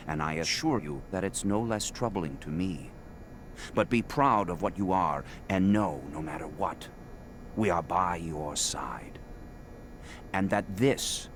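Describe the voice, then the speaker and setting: An actor playing the grave, authoritative grand master of a secret mystic order in a video game, speaking in measured, ceremonial phrases. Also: male voice, deep voice, noisy background. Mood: grave, reassuring. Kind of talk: solemn dramatic game dialogue.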